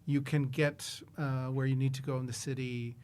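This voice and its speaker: A man's voice: mid-range voice, level tone, normal speed, a little mellow, slight background noise. A man from Canada with a Canadian accent, hosting a casual interview in a chatty, emphatic way. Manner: chatty, emphatic, repeats for stress. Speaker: podcast host.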